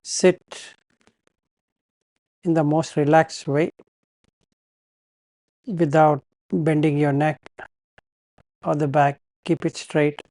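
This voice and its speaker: A man leads a guided meditation in a slightly high, very even voice. He speaks very slowly and calmly, leaving pauses between short instructional phrases. The sound is close and dry. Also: no room sound. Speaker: meditation teacher.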